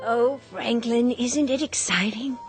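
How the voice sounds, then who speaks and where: An actress playing a timid, polite, easily dazzled wife in a radio drama, with hesitant, meek wonder. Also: female voice, a little high, a little slow, over music. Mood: timidly delighted.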